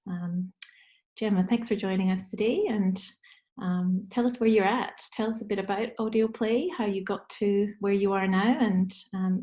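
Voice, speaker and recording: A woman moderating an online panel, speaking in a measured way with pauses mid-phrase. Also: mid-range voice, dark tone. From Scotland or Denmark by descent, living in Australia. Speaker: panel moderator, arts manager. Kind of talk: event introduction and moderation.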